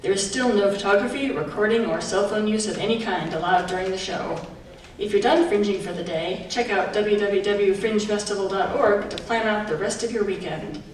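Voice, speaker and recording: A woman's voice: medium pitch, normal speed. A woman giving a scripted pre-show announcement as a theatre festival announcer. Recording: echoey hall from far off, faint noise.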